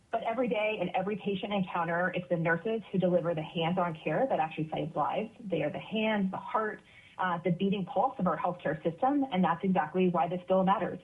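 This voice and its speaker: Woman physician witness, earnest and emphatic, slightly low voice, phone-line audio. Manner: earnest, emphatic, some fillers. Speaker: emergency physician testifying. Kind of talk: formal legislative testimony.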